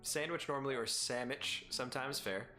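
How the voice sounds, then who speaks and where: A man's voice: mid-range voice, moderate pace, music in the background. A man with an Arizona accent hosting a live stream, chatting casually with viewers in a playful, teasing, rambling way.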